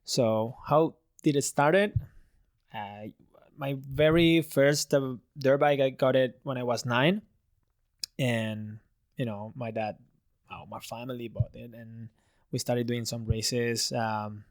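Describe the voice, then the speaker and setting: A man's voice: mid-range voice, fairly even intonation, takes it slowly, no echo. Chatty thirties man, very dry sound. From Spain. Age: 30s. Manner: chatty storytelling with many restarts and laughs. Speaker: freestyle motocross rider, guest. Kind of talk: casual interview chat.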